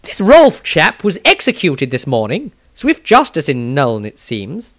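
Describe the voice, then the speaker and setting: A man's voice: a little high, wildly swooping pitch, measured pace, fairly bright tone, pitched below the performer's own voice, exaggerated voice. A man voicing a fretful, self-effacing wizard engineer in a fantasy audiobook diary, with a British accent and fussy, wry asides. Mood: wry, anxious.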